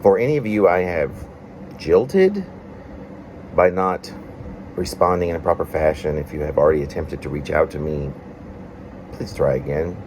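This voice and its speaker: Male poet, deep voice, animated pitch movement, reflective halting read of own confessional work, faint hum behind. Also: poor audio. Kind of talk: reading a confessional prose-like poem aloud.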